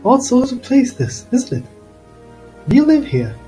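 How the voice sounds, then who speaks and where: A man's voice: very high voice, huge pitch swings, measured pace, warm tone, soft background music, heavily acted. A man playing a dazed, cheerful, befuddled wizard with airy, polite wonder in an audio drama. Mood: politely puzzled.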